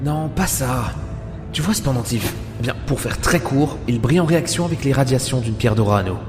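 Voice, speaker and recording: A man's voice: medium pitch, lively intonation, brisk, lightly acted. A man playing an impatient, sardonic loner adventurer in an audio adventure drama, grumbling his lines. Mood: puzzled, dry. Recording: loud music behind the voice.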